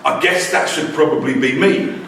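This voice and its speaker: A man's voice: fairly high pitch, moderate pace, fairly bright tone, a little hiss or hum. A man in his forties telling a wry, self-deprecating personal story about family life as a language teacher. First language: English. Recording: very echoey room, distant mic.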